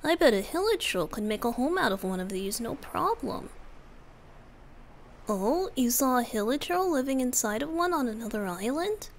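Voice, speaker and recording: A woman voicing a youthful male wind-spirit bard, soft, gentle and teasing, often breathy, in a romantic ASMR roleplay. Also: a little high, big pitch swings, moderate pace. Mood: relaxed, affectionate.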